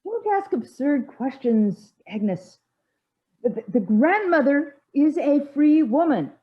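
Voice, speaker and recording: Woman playing grand older society lady, high voice, bossy, preachy, halting emphatic declamation, stage comedy. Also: expressive, narrow, tinny audio. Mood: indignant, preachy. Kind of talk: stage comedy acting over video call. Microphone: video call.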